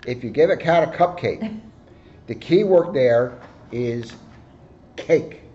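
A man in his sixties, a police officer, reading a picture book aloud with joking asides, in a high-pitched voice. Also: expressive, slow, dark tone. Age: 60s. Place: office, indoors. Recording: dull, lo-fi camera microphone.

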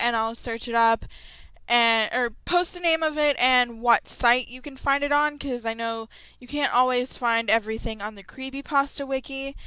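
A woman hosting her channel, chatting casually and excitedly to her viewers in an informal audio update. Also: a little high, smooth, dry recording, rough recording. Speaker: creepypasta narrator and channel host.

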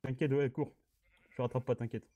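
A man teammate in a game, reacting with animated disbelief in casual voice chat. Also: medium pitch, moderate pace, no echo. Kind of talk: casual gaming chat over voice chat.

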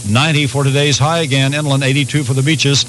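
A man's voice: medium pitch, fairly even intonation, quick pace, fairly bright tone. A man giving a radio weather forecast on a hissy 1980s recording with some hum.

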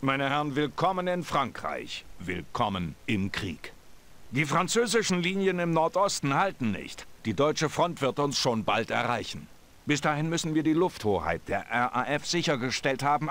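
An actor playing a commanding officer giving pilots a formal, clipped mission briefing. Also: male voice, mid-range voice, fairly bright tone, natural voice. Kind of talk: game cutscene voice acting.

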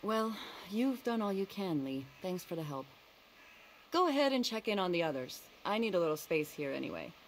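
An actress playing a weary, compassionate medic in a zombie video game, with a gentle, earnest way of speaking. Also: female voice, fairly low pitch, sing-song, normal speed, a little hiss or hum. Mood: weary, troubled.

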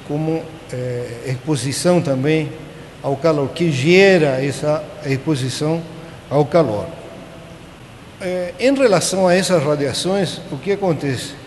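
A man in his sixties from Chile, a native Spanish speaker with a Chilean accent, gives an informal technical lecture in a halting manner, often checking in with tag questions. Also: a little high, a little mellow. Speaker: conference speaker. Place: large conference auditorium. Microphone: podium gooseneck microphone. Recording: echoey auditorium with clear background noise.

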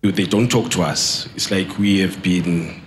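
A man's voice: low voice, fairly even intonation, a little hiss or hum. A man from Zimbabwe speaking hesitantly into a handheld mic in a large church hall. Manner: hesitant, halting. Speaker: congregant.

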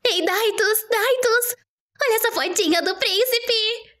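A woman with a Brazilian accent playing an excitable young cat owner, narrating an animated storytime fandub in a cutesy, expressive way. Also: very high-pitched, measured pace, heavily acted. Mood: pleading, adoring.

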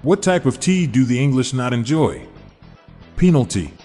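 A man's voice: mid-range voice, sing-song, normal speed, warm tone, soft background music. Man reading dad jokes in a roomy hall. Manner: upbeat joke delivery with chuckles at punchlines. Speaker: podcast host.

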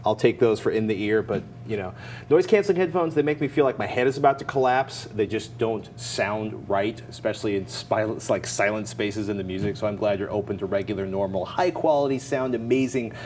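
A middle-aged American man with a fairly low voice co-hosts a tech show. He talks informally and emphatically, laying out recommendations as if chatting. Light noise sits behind him in the studio. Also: brisk, a little mellow. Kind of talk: conversational product recommendations. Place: TV-style studio at a desk. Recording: faint background noise.

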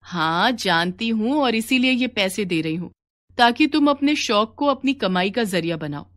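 Woman playing a kind, encouraging neighbour, fairly high. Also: expressive, normal speed, a bit bright.

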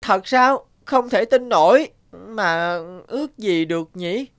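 A woman voicing a kind, thoughtful young schoolboy in an animated cartoon, earnest and musing. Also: medium pitch, slow pace, dry recording, studio quality. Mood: amazed. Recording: prominent music under the voice.

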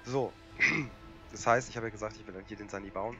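Hoarse man with a cold, gaming. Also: lowish voice, a little fast, music in the background. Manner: relaxed and rambling, many fillers. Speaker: gaming channel host. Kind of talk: casual gameplay commentary.

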